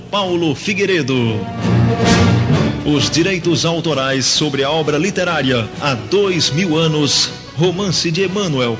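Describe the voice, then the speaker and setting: Over prominent music, a Brazilian man announces radio credits formally. His voice is fairly high and his reading emphatic and measured. The recording is a little dull.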